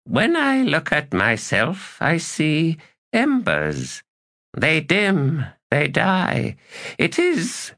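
A tired old god who has long since given up on his lost throne, speaking slowly and with sorrow, as a male narrator voices him. His voice is raised a little above a plain speaking pitch and moves through a wide, lively range. Every word sounds resigned. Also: full character voice. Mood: weary. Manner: sorrowful, resigned, slow and measured. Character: weary melancholy old male elder god. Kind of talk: audiobook character reading.

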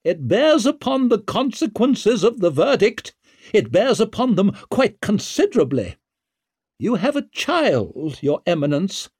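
An imperious older noblewoman from a fantasy audiobook, acted out by a man. The voice is pitched high and swoops widely, with a sharp, haughty edge. Words are stretched with menacing emphasis. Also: sing-song, slightly rough, no room sound, clean studio sound, heavily acted. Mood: haughty, threatening. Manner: haughty, drawn-out emphatic delivery. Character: imperious aristocratic older mother, shrill, menacing, pleading.

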